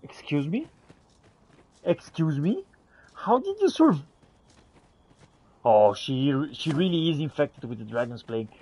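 A man's voice: a little high, sing-song, measured pace, pauses often, mellow, breathy edge, dry recording, poor audio. A man playing a fantasy role-playing game, talking in character to his companions as he comments. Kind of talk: improvised in-character gameplay commentary.

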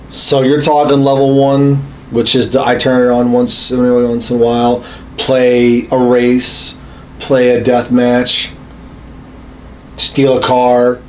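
Man explaining things casually, mid-pitched. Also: darkish tone. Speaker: podcast co-host. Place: small home studio room. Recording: slight background room noise.

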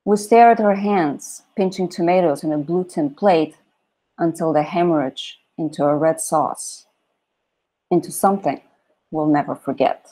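A woman in her thirties from Bulgaria, reading her own poems in a soft, measured, lyrical way, on a video call from a room at home. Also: sits low, poor audio. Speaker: poet reading her work. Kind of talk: poetry reading. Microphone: video call, laptop camera.

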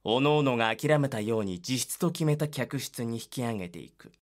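An actor playing the solemn narrator of a dark voice drama, reading scripted narration. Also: male voice, medium pitch, expressive, normal speed, lightly acted.

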